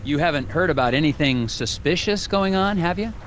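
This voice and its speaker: Man acting an earnest, high-voiced FBI agent. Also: lively intonation, a little fast, slight background noise, lightly acted. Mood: polite, probing. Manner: formal, earnest questioning. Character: earnest, polite male FBI agent, dutiful and slightly uneasy. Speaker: game character, investigator. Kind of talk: scripted video game dialogue and narration.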